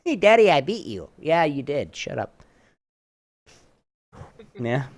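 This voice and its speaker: A man hosting a trivia game in a casual chat, often halting and restarting his phrases. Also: fairly high pitch, sing-song, no echo. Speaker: podcast host running a trivia game.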